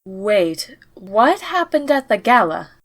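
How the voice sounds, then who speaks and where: A woman's voice: mid-range voice, sing-song, takes it slowly, full character voice. A woman voicing a blunt, indignant younger-sister pony princess in a scripted audio drama, sarcastic, exasperated and emphatic. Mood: dry, impatient.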